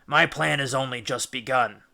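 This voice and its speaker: A man's voice: medium pitch, moderate pace, gravelly, sharp tone. A man playing a cunning, commanding Greek hero and captain in an audiobook, with firm, declamatory delivery. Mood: resolute. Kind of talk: audiobook character acting.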